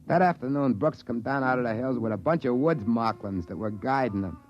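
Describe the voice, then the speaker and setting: A man's voice: mid-range voice, lively intonation, brisk, darkish tone, close to an everyday voice. Guy acting easygoing folksy space trader narrating, old 50s radio show, music in it, muffled. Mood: wry, storytelling. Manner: folksy, wry, colloquial. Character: easygoing, wry male trading-post assistant manager. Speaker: lead actor and narrator. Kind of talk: 1950s radio drama, folksy first-person storytelling. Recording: dull 1950s radio recording with music.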